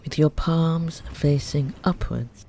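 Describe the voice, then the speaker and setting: A meditation teacher gently guiding a Yoga Nidra relaxation, soothing and unhurried, with long pauses between phrases. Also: medium pitch. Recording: steady ambient noise under the voice. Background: ocean waves.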